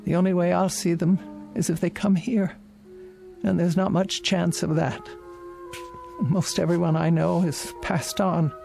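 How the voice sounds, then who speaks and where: Creaky, frail old woman. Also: lowish voice, steady tone, unhurried, dark tone, faint music behind. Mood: frail, despairing. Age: older. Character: frail, lonely, fretful old woman confined to her house. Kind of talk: radio drama acting.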